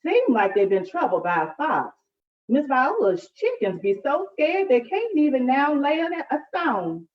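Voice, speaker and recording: A woman's voice: sits high, very animated, a little slow, pitched above the performer's own voice. A woman voicing a folksy Southern grandmother with rural dialect wording in a children's storybook. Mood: concerned. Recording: echoey room, distant muffled mic.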